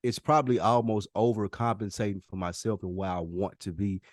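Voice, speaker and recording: A man's voice: on the low side, fairly quick, no room sound, clean studio sound. An American man co-hosting a podcast, talking casually in an earnest, emphatic, slangy way and often repeating himself for emphasis. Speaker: podcast co-host. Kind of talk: casual conversational podcast talk.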